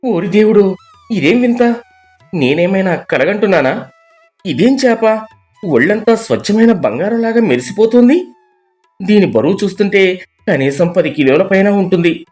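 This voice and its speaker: A man playing a poor, kind-hearted fisherman and father, speaking with earnest emotion in an animated moral story. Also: high-pitched, moderate pace, pauses often, soft background music. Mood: amazed.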